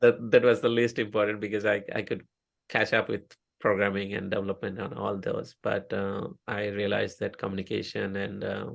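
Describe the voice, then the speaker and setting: A man's voice: on the low side, level tone, moderate pace, gravelly. A middle-aged man from India with an Indian accent, a native Oriya speaker, telling his story in a casual interview with many fillers and restarts. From India (Odisha). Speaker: interview guest, software engineer.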